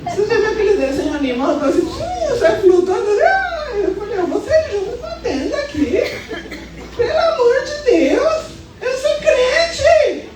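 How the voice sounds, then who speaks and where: A woman in her fifties with a Brazilian accent preaching as a pastor, moving into colloquial, animated and emphatic personal storytelling. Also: extremely high pitch, a little slow, over a noisy crowd. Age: 50s. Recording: echoey hall, distant sound, music underneath.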